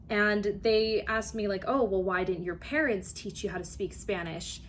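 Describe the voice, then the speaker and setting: A woman's voice: mid-range voice, slight background noise. A young woman student giving an earnest personal speech for a class, telling a story with occasional fillers. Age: young adult.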